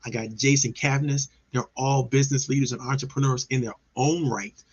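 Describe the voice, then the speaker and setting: A man's voice: mid-range voice, steady tone, brisk, slightly rough, dull audio. A man from Maryland hosting a casual online business show over a video call, talking in an upbeat, enthusiastic way with frequent restarts. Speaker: host.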